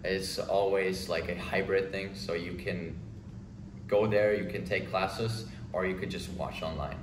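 A man's voice: low voice, fairly even intonation, measured pace. A young man from Germany answering interview questions in a loose, rambling way, often restarting his sentences. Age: young adult. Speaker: ice dancer, interviewee. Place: small indoor interview room. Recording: echoey room with background noise.

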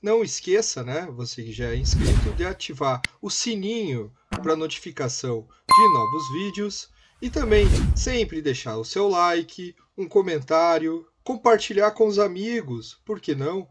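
A man's voice: fairly high pitch, big pitch swings, very noisy. A man in his forties from Brazil delivering a practiced channel outro in Portuguese on a headset, in a small home studio. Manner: rapid, practiced call to subscribe. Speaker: channel host.

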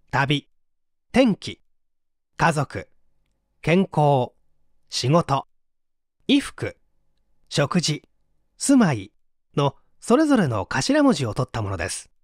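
Audiobook narrator, scripted explanatory reading, animated intonation, clear articulation, dry close recording. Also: medium pitch, no room sound. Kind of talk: scripted book read-aloud narration.

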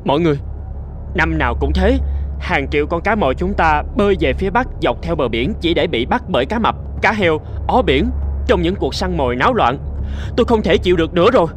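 A man's voice: fairly high pitch, lively intonation, a little fast, soft background music, close to an everyday voice. A man voicing a frightened, fed-up little sardine in a cartoon, anxious and pleading. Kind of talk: cartoon dubbing.

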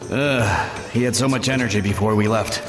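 A man's voice: lowish voice, normal speed, over music, close to an everyday voice. A man voicing a concerned, practical ninja companion, matter-of-fact, in an anime game dub.

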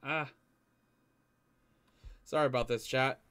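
A man's voice: medium pitch, fairly even intonation, slow pace, sharp tone, clear, resonant tone. A young adult man giving casual, informal reaction commentary as host of a video, recorded in a room at home. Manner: informal, mild swearing. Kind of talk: casual live reaction commentary, some reading aloud. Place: small indoor room at home.

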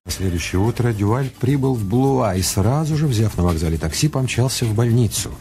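A man narrating a detective radio play in a measured, dramatic way with deliberate pauses. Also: medium pitch, lively intonation. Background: music.

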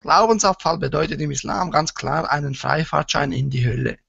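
A man with a Swiss German accent from Switzerland, hosting an online talk show with a measured, formal delivery. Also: a little high, creaky, fairly bright tone, breathy edge, dry recording. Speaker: show host. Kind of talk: scripted intro, then casual chat. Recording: dull, lo-fi sound.

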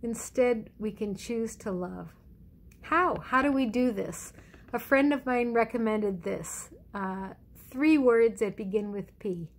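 A middle-aged woman pastor giving a conversational sermon at home in a measured, reflective way with deliberate pauses. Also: fairly high pitch. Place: indoors in a living room at home. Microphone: phone or webcam at arm's length.

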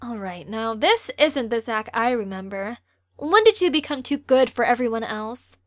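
Woman as teenage girl, high voice, chatty, teasing, dry close recording, audio drama. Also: expressive, moderate pace, no echo, close to an everyday voice. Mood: teasing reproach. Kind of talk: amateur audio drama acting.

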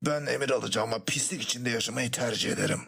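A man's voice: mid-range voice, quick pace, vocal fry, a bit bright, a little hiss or hum. A man voicing a sullen, stubborn cartoon clone with a blunt manner. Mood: defiant. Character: sullen, defiant male clone who refuses orders. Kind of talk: animated comedy dub in Turkish.

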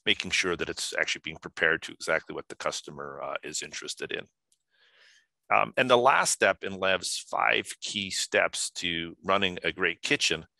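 Older male speaker, very low pitch, crisp bright tone, halting delivery full of fillers, dry video-call mic. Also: no room sound. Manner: halting, many filler sounds. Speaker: conference speaker. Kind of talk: video call keynote talk. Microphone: video call webcam.

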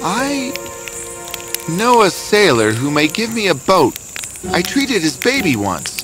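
A kindly cartoon doctor, gentlemanly and easily worried. The pitch moves dramatically from phrase to phrase, with music behind it. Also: male voice, huge pitch swings, takes it slowly, heavily acted, low-quality recording. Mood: resolved. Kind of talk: cartoon fairy-tale acting.

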